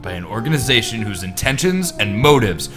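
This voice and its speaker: A man's voice: fairly low pitch, sing-song, brisk. A man acting as a melodramatic reporter, reading a news exposé in a theatrical, portentous, mock-serious way. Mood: grave, portentous. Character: melodramatic male reporter narrating a sinister exposé. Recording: loud guitar music behind the voice.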